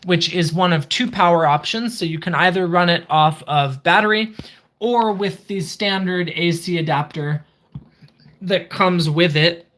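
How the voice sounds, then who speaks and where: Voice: mid-range voice, a bit bright. A livestream host giving a casual product review and channel update, explaining things methodically step by step.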